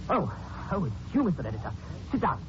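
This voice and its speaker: An actor playing an eager, inquisitive young newspaper reporter in a 1940s radio drama, excitable and probing. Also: male voice, on the high side, a little fast, noisy background. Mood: excited. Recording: muffled 1940s radio recording with music.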